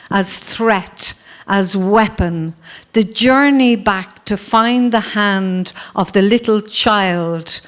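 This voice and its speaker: Slow, clear-voiced Irish woman poet. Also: medium pitch. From Ireland. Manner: slow, measured reading with long pauses. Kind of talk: panel talk and poetry reading. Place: festival panel on stage. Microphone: handheld or stand mic.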